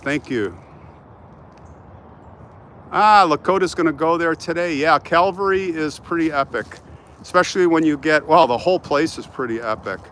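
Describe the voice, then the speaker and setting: A man's voice: a little high, sharp tone. An older man with an American accent chatting casually to live-stream viewers, hopping between remarks as he walks. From United States. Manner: chatty, jumping between remarks while walking. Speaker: vlog host. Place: outdoors in a large open cemetery. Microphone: handheld phone at arm's length. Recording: noisy outdoor urban ambience.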